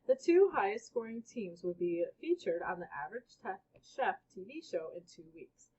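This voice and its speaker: A woman with a husky voice reading a children's book aloud as a storybook reader. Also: mid-range voice, sing-song, moderate pace, a little mellow. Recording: dull, lo-fi recording.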